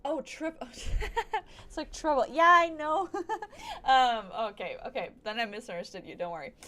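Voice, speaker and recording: A woman's voice: high-pitched, unhurried, sharp tone. A woman livestreaming a video game, chatting casually and playfully to the game and her viewers. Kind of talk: casual gameplay commentary and chat. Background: video game music.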